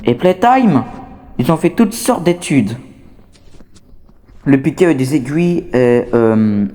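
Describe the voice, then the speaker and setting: A man's voice: medium pitch, big pitch swings, slow, mellow. A man voicing a scrappy, talkative toy outcast, wary but scheming, in a fan dub of a horror game. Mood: conspiratorial. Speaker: amateur voice actor dubbing a game character.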